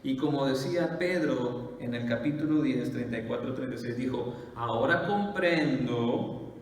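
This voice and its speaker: A pastor, preaching in a conversational style full of "eh" fillers and rhetorical questions. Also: male voice, medium pitch, moderate pace. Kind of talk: sermon. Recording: echoey hall from far off.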